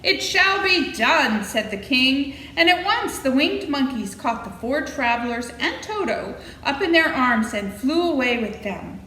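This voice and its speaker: A woman reads a children's story with playful, dramatic expression. Her voice is fairly high and bright, moving up and down freely, and she chats to her listeners as she goes. The room has a clear echo. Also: sing-song, a little slow, few pauses. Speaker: library storytime reader. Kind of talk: animated children's story reading with asides to listeners. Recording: echoey room with faint noise.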